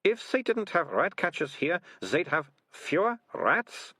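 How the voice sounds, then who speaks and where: Man voicing an earnest young girl, high-pitched. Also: very animated, unhurried, pushed and bright, husky, dry recording, clean studio sound, heavily acted. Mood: puzzled, probing. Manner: pondering, matter-of-fact.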